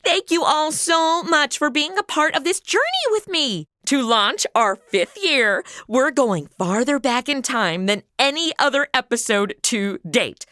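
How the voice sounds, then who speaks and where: A woman playing an excitable, sulky young woman in a comic audio drama, with a bubbly, sassy, dramatic delivery. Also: high-pitched, no echo, studio quality, full character voice. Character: excitable, sulky young woman zapped into old radio shows. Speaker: star of a comedy audio drama podcast. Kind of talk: comic audio drama acting, casual modern speech.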